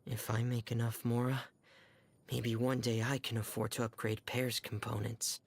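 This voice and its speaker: A shy young diver from a fantasy game. His voice is quiet and monotone, with a heavy creak and a dry, close sound. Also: medium pitch, normal speed, no echo. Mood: subdued. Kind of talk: video game character voice line.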